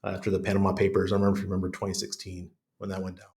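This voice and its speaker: Middle-aged American male, low voice, congested chest, blunt salty talker in a relaxed podcast chat. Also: rapid-fire. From United States. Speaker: podcast guest. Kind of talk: casual conversational interview.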